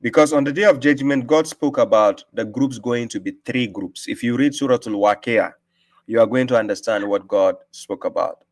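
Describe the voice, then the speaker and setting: A man slowly reading and explaining scripture. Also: mid-range voice. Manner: reads scripture aloud with slow emphasis and explains it; asks rhetorical "right?" often. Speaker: host and religious teacher. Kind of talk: live-stream religious explanation.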